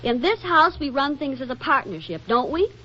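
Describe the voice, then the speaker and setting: A high, very lively young wife in a 1940s radio comedy. She is chatty, dramatic and insistent, with arch emphasis. The old recording is dull and slightly noisy. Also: moderate pace. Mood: firm, indignant.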